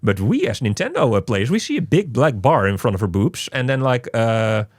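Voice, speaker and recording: A man hosting a casual game review, speaking haltingly and often repeating his words. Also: medium pitch, very animated, dry recording, clean studio sound. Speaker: podcast host reviewing a video game.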